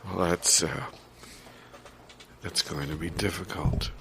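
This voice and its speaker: Improv comedy: man as grudging, irritable returns clerk, repetitive, deep gravelly creak. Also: fairly even intonation, slow, fairly bright tone, exaggerated voice. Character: stubborn, irritable male returns clerk, Mister Quisling. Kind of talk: improvised audio comedy sketch. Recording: clear background ambience noise.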